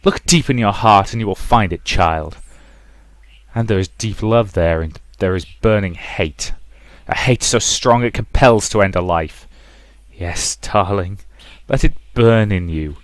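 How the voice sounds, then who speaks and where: A man voicing a stern, bitter, vengeful mother in a visual novel, commanding and deliberate. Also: lowish voice, no echo, natural voice.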